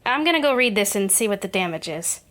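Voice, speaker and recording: A woman's voice: mid-range voice, big pitch swings, quick pace, fairly bright tone. A woman playing a reluctant, sardonic grown daughter in an audio drama, talking in a dry, grudging way. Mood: sceptical, resigned. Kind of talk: naturalistic audio drama acting.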